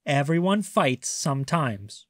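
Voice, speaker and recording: A man voicing a gentle mother shark in a storybook, patient, soothing and reassuring. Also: on the high side, big pitch swings, unhurried, no echo, clean studio sound.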